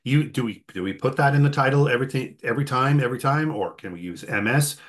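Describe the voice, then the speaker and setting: A man hosting a conversational interview, thinking aloud in a rambling way and often clearing his throat. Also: mid-range voice, fast talker. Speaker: host and interviewer.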